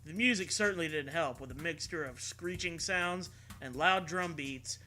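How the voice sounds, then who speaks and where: A man's voice: on the high side, normal speed, sharp tone, soft background music. A man reading a story aloud as a host, in a comedic dramatic style with casual, irreverent, sweary asides. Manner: irreverent, sweary, often breaks into laughter.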